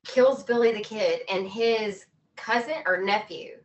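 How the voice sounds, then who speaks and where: A woman's voice: mid-range voice, unhurried, recorded from a distance, lo-fi sound. A woman interviewing in a casual, joking chat, with playful, reactive interjections. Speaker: podcast interviewer.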